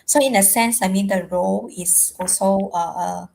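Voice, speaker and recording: Woman with a gravelly voice. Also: mid-range voice, fairly even intonation, normal speed, darkish tone. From Malaysia. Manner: hesitant, frequent ums. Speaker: co-host. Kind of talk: self-introduction at an online live session.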